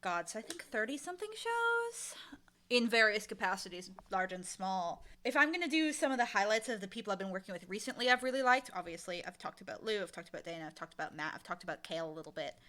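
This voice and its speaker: A woman speaking casually as an interview guest, animated and emphatic, with a playfully blunt way of talking. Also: mid-range voice, fast. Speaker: interview guest, audio drama creator and casting director.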